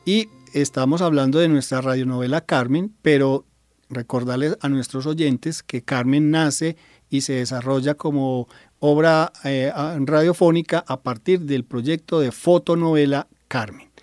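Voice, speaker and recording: A man's voice: mid-range voice, a little slow, darkish tone, no room sound, studio quality. A man hosting a radio program, presenting to listeners and guests. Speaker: radio host. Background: music.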